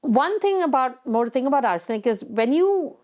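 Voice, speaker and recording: A woman's voice: high-pitched, big pitch swings, normal speed, a little mellow. A woman hosting a conversational technical interview, inquisitive and thinking aloud as she frames her questions. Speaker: interviewer, host.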